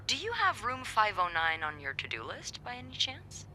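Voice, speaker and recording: An actress playing a wry hotel coworker, speaking in a dry, knowing, teasing way. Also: female voice, on the high side, wildly swooping pitch, normal speed, bright, forceful tone, slight background noise, heavily acted. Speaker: hotel staff.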